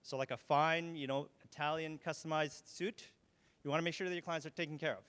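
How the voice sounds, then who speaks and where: Man, smooth and resonant, cheesy-joke sponsor pitch in a reverberant event hall. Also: steady tone, fairly quick, fairly bright tone. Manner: jokey, laughing, filler-heavy. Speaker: mortgage broker, sponsor.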